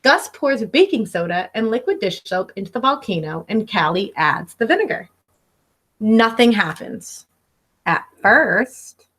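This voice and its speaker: A woman's voice: mid-range voice, sing-song, unhurried, a bit bright, breathy edge. A woman in her thirties reading a picture book aloud as a teacher, expressively and instructively, speaking directly to young listeners. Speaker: elementary school teacher. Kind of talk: read-aloud storytelling for children. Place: living room at home.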